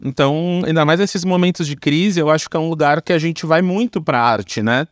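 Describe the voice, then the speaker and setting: A man's voice: a little high, a little fast, no echo, studio quality. A man in his thirties or early forties with a Brazilian accent, talking informally as an interview guest, explaining and telling stories. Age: 30s to early 40s. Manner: informal, explanatory, frequent tag words. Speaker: podcast guest, screenwriter. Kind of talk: casual conversational storytelling.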